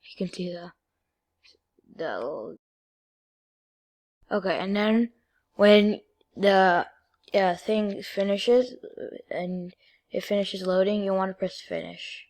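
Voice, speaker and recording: A female-sounding voice at a middling pitch walks through software steps. The delivery is informal and halting, in the manner of a homemade screen-recording tutorial. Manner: informal, halting step-by-step instructions. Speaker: video creator giving a tutorial.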